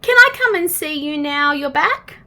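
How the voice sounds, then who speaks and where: Woman as befuddled storybook man, Australian accent, chirpy, bright, animated pitch swings. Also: moderate pace, exaggerated voice.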